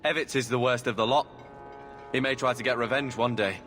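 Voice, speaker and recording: A man's voice: medium pitch, fairly quick, a bit bright, long reverb tail, faint music behind, close to an everyday voice. An actor playing an earnest, cautious and grateful male ally in a game. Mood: grateful, uneasy.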